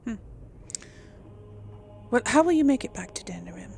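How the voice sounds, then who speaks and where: A woman's voice: fairly high pitch, lively intonation, moderate pace, creaky, darkish tone, soft background music. A woman hosting a casual let's play, talking in an informal, hesitant, chatty way. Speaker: gaming video host. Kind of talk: casual let's play commentary with role-played replies. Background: video game ambience.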